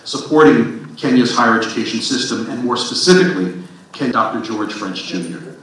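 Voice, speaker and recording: A man's voice: mid-range voice, animated, normal speed. A man giving a formal speech at a university partnership launch, reading prepared remarks with a few restarts. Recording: echoey hall from far off, faint noise.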